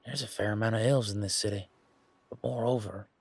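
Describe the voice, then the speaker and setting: A man playing an easygoing, teasing country-boy werewolf bodyguard in a roleplay audio drama, talking in a folksy, informal way with drawn-out hesitations. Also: fairly low pitch, steady tone, vocal fry, slightly rough, no echo. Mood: amazed. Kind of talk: roleplay audio drama, one-sided conversation.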